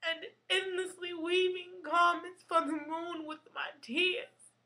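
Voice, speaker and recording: A young woman delivering a theatrical poetry monologue with dramatic, emotional, deliberate phrasing. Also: very high voice, level tone, sharp tone. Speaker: actress performing monologues.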